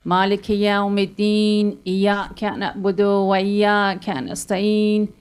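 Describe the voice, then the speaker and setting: A woman's voice: medium pitch, little pitch movement, fast talker, clean tone. A woman taking part in a group gathering, speaking or plainly reciting in a modest, slightly hesitant way. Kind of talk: casual answers and plain Quran recitation.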